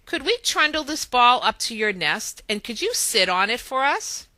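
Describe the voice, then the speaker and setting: A woman's voice: a little high, sing-song, moderate pace, sharp tone, no echo, pitched above the performer's own voice. A woman voicing a bossy, mischievous little male leprechaun leader in a children's storybook, with commanding Irish turns of phrase. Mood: coaxing.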